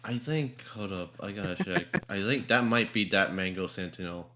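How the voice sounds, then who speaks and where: A man hosting a casual podcast chat in a hesitant, halting way. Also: deep voice, sharp tone. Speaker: podcast host.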